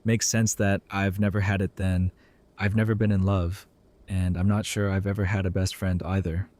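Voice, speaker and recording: A man's voice: low-pitched, level tone, normal speed, a little mellow, lightly acted. A man playing a wry, earnest young doctor in an audio drama, with a casual, teasing delivery. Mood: sincere, reflective. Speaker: voice actor in a fan audio drama. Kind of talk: conversational audio drama acting.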